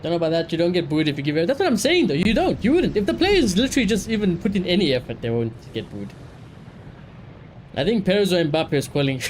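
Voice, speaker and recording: A man chatting casually on a livestream, reacting and ranting in an animated, blunt, sweary way. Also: sits high, fast, slight background noise. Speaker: live streamer.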